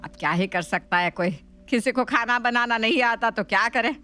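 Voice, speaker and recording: A woman's voice: a little high, moderate pace, bright, forceful tone, clean tone, faint music behind. A woman voicing a shrewd older mother-in-law in a family story, scolding and mock-sweet. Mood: mock-sweet, commanding.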